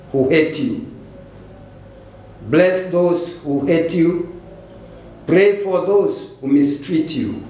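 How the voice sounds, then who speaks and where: An older man with a Kenyan accent, a pastor preaching a sermon slowly and deliberately into a pulpit microphone in a large church. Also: a little high, darkish tone, slight background noise. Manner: slow, deliberate preaching with repeated phrases. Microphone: stand mic at the pulpit. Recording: very echoey church hall.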